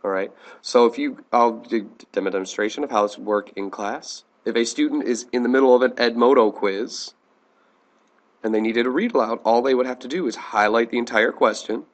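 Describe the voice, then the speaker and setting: An American man teaching a software tutorial in a plain, step-by-step instructional manner. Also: mid-range voice, a little fast. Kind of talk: instructional screencast narration.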